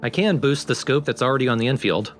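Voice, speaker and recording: A man's voice: mid-range voice, animated, quick pace, soft background music. A man giving casual, explanatory commentary on a video game as he plays. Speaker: let's play commentator.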